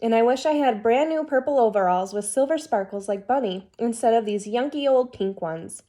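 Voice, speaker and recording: A woman's voice: a little high, moderate pace, mellow, close to an everyday voice. A woman voicing a small, complaining little sister critter in a children's storybook, with a whiny manner. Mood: whiny, discontented.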